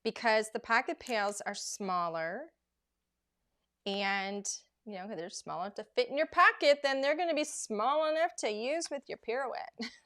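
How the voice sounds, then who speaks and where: A woman hosting a live crafting demonstration, chatty and rambling with plenty of filler words, her voice going hoarse as she loses it. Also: on the high side, a little slow, fairly bright tone. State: losing her voice. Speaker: craft show host.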